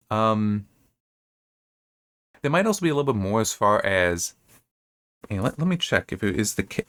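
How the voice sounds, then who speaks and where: Low-voiced man rambling through book commentary. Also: a bit bright. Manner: rambling, thinking aloud. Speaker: host reviewing assigned reading.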